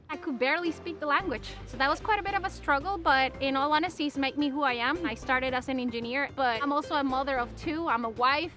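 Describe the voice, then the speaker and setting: Puerto rican lady, really high voice, spanish is her first language, interview with music behind. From Puerto Rico. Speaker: reality show contestant. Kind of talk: to-camera contestant interview. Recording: loud music bed.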